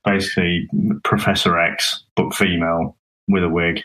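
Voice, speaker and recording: A man's voice: low voice, level tone, normal speed, pushed and bright, rough recording. A man co-hosting a casual film review podcast, talking in a rambling way with frequent restarts and chuckles. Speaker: podcast co-host.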